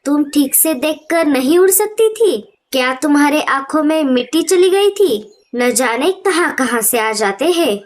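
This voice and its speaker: A woman's voice: sits high, expressive, measured pace. A woman voicing a spoiled, rude young bird princess in a cartoon story, demanding and bratty. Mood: angry, scornful. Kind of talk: Hindi cartoon story acting.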